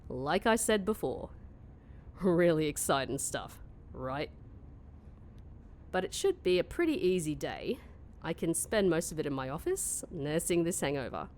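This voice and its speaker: Woman as a low-voiced, wry young office worker. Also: a little slow, pauses often, a little hiss or hum. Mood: weary, wry. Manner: deadpan, sarcastic. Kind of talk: mockumentary comedy narration and dialogue.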